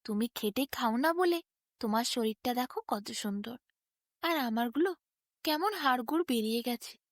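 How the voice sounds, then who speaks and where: A woman's voice: a little high, animated, normal speed, dry recording, studio quality, natural voice. A woman voicing an envious, overworked ox who complains plaintively about his lot in a children's storybook tale. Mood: plaintive envy.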